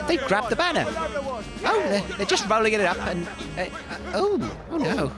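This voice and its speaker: Flustered radio host , acted by a man, comedy drama, very high and swooping, polite, music behind. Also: very animated, normal speed, a crowd in the background. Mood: worried. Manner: hesitant, fussy, polite. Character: flustered, polite radio presenter giving live commentary. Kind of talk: comedy audio drama acting, live commentary.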